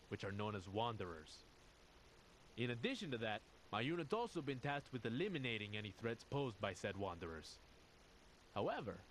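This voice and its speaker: A man acting an earnest, troubled squad officer who confides deliberately in a video game audio log. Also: medium pitch, slight background noise, close to an everyday voice. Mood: measured, suspicious.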